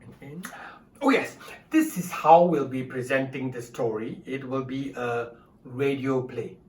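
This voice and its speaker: A man presenting and narrating a story in a deliberate, instructive way. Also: mid-range voice, darkish tone, recorded from a distance, slight background noise. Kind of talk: storytelling and audience address. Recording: echoey room, off mic, music behind.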